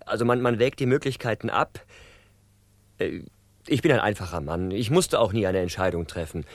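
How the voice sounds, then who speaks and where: A man acts a simple, good-natured fellow in a children's radio play. His low voice swings widely up and down as he gropes for words and gets easily flustered. The recording is dry and close. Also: animated, moderate pace, dry recording, close to an everyday voice. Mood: earnest, hesitant. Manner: hesitant, groping for words. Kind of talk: children's radio drama acting.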